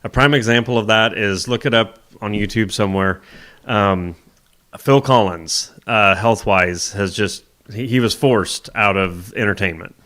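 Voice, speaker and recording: A male co-host with a low voice, easygoing and unhurried. He mostly answers with short agreeing replies and now and then tells a longer story of his own. He talks plainly and casually. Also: pushed and bright. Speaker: morning show co-host. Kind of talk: casual chat.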